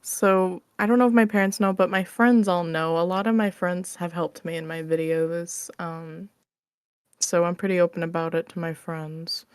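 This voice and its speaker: Slightly low-voiced woman, playful interview guest. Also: steady tone, moderate pace, smooth, no room sound. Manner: playful, giggly, informal. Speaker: interview guest, ASMR roleplay creator. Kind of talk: casual chat. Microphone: close microphone on an online call.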